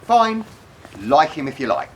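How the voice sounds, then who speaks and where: Actor as a jealous, highly animated boyfriend. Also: male voice, a little hiss or hum. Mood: defensive, irritated. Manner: defensive, halting when pressed. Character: jealous, stubborn, evasive boyfriend. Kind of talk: naturalistic radio play acting.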